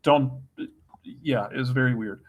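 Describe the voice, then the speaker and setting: A man with a medium-pitched voice chats as a panelist on a sports show. He speaks in a wry, anecdotal manner, like casual storytelling among colleagues. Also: unhurried.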